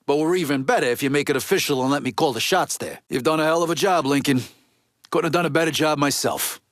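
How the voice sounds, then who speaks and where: Game role, male actor: gruff middle-aged American male mob underboss, impatient and streetwise, slightly higher voice, very dry sound. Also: no room sound, close to an everyday voice. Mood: firm, confident. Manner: blunt, profane, streetwise wiseguy talk. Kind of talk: video game dialogue acting.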